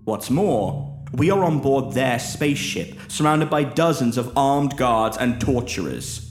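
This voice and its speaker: Male narrator as hardened male captive, fatalistic, scornful, animated pitch, roomy sound, audio drama. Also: measured pace, lightly acted. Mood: grim resignation. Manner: emphatic, fatalistic. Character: grim, resigned veteran prisoner man, scornful, bitter. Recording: roomy echo, music behind.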